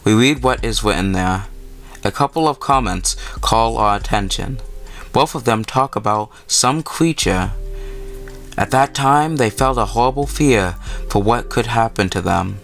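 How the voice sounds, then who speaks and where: Man, low voice, gaming host, visual novel read-aloud, conversational asides, music in the background. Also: a little slow, gravelly, a bit bright. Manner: conversational, informal asides while reading. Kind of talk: reading a visual novel aloud with casual commentary.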